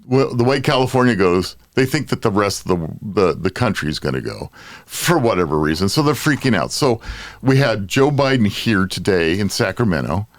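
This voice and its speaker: An American man hosts a casual panel show with a fairly deep voice that moves around a lot in pitch. He banters in an exasperated, conversational way. The sound is close and dry. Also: very animated, moderate pace, no echo. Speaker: podcast host.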